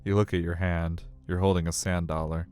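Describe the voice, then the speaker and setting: Male story narrator, low register, dramatic and suspenseful read over background music. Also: flat delivery, brisk, darkish tone. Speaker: streamer reading a visual novel aloud.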